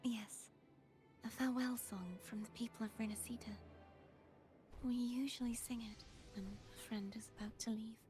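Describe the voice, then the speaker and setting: A woman playing a gentle, grieving young warrior in a video game, speaking earnestly in a soft, breathy, halting way. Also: on the high side, steady tone, natural voice. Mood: sorrowful, hesitant. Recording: background music under dialogue.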